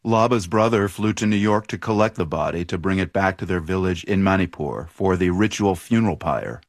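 A man's voice: low voice, expressive, a little fast, no room sound, studio quality. A man reading scripted narration as the host of a radio documentary.

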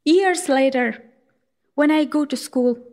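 A young woman from Afghanistan telling her own life story in an earnest, halting way, with frequent fillers. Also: high-pitched, darkish tone. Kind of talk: personal storytelling.

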